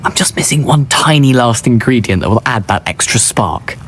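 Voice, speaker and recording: A man's voice: mid-range voice, expressive, normal speed, a bit bright, a little hiss or hum. A man voicing a cheeky, persuasive teenage schoolboy in a video game, eager and coaxing, with a British accent. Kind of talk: video game character acting.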